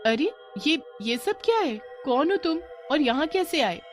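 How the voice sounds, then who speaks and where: A woman's voice: sits high, animated, measured pace, faint music behind, voice pushed up. A woman voicing an innocent young daughter in a cartoon, earnest and plaintive in manner. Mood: alarmed. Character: innocent young daughter Aarti, caring and questioning.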